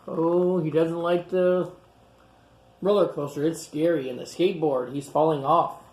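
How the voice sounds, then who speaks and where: A man hosting a storytime, reading a picture book aloud to children and stopping to comment on the pictures in simple, repetitive phrases. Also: on the high side. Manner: pauses to point out and comment on pictures. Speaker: storytime reader and host.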